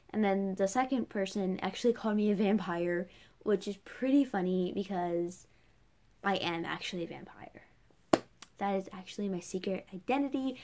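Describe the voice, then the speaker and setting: A young woman talks as a casual vlogger, with an ordinary mid-pitched voice. Her delivery is chatty and rambling, leaning heavily on "like" as she works through a personal rant. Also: a little mellow. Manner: chatty, rambling, heavy use of "like".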